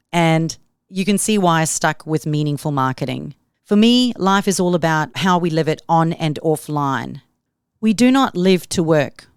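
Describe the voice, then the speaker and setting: A woman's voice: fairly low pitch, clean tone, dry recording, clean studio sound. A middle-aged woman with a South African accent, hosting a solo podcast in an upbeat, motivational tone. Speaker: podcast host.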